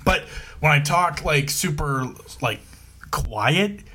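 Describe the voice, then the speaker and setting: A man's voice: a little high, animated, takes it slowly, sharp tone, a little hiss or hum. A man with a nasal voice, a guest on a casual podcast, joking and bantering playfully. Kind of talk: casual chat.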